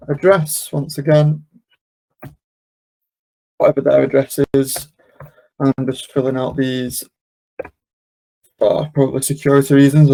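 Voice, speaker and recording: Mellow-voiced man giving a software walkthrough. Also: mid-range voice, gravelly, poor audio. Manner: casual, step-by-step explaining. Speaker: webinar host and software demonstrator.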